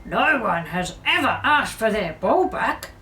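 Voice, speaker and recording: Grumpy old storybook neighbour, male, played by a woman reader: growling, snappish, slow and deliberate, music behind. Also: a little high, sing-song, pushed and bright, heavily acted. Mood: softened, thoughtful.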